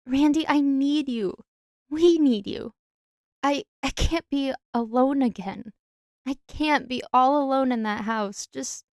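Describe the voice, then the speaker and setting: Woman as a clingy lovelorn young man, highish voice, drawn-out pleading, emotional, audio drama romance. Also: a little mellow, no echo, clean studio sound. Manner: slow, pleading, emotional delivery. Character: needy, tearful, pregnant young human man in love.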